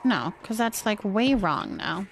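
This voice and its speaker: A woman chatting casually on a livestream as host, musing indecisively out loud while she decorates. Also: medium pitch, sing-song, takes it slowly, vocal fry, a little hiss or hum.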